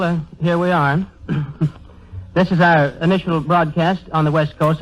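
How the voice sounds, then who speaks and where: Male actor as eager comedy straight man: flowery talker, slightly high voice, dull 1930s radio sound. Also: natural voice. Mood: earnest, enthusiastic. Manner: flowery, effusive vocabulary, stammering repeats. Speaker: comedian in a double act.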